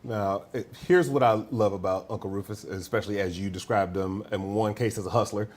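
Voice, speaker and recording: A male interviewer asking rambling, halting questions in a casual chat. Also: fairly low pitch, a little mellow, a little hiss or hum. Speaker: interviewer, host.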